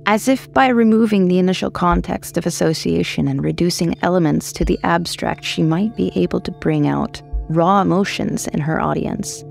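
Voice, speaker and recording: A woman's voice: lowish voice, normal speed, vocal fry, few pauses. A woman narrating scripted horror fiction in a dramatic, wry storytelling manner. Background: faint music.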